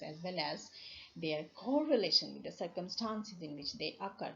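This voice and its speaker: A woman lecturer with a Pakistani English accent, a native Urdu speaker, explaining slides slowly with many fillers and restarts. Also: mid-range voice. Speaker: university lecturer. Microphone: voice-over on slides. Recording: dull lo-fi recording with faint noise.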